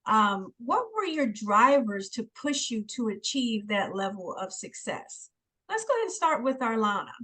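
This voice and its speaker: A woman moderating a panel, calling on each guest by name with quick short acknowledgements. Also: on the high side, very animated, bright, forceful tone. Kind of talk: hosting a panel discussion.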